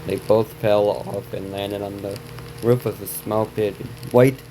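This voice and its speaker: Low-voiced man reading haltingly through heavy noise. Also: steady tone. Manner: halting reading, stumbling over words and repeating them. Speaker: reaction video host. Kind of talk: reading on-screen story text aloud with asides.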